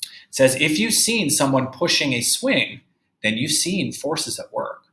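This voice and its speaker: A man's voice: fairly high pitch, big pitch swings, measured pace, bright, forceful tone, echoey room. A man teaching young children in a read-aloud lesson, explaining step by step with emphasis and checking in as he goes. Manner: instructive, emphatic, checking understanding with tag questions. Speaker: third-grade teacher.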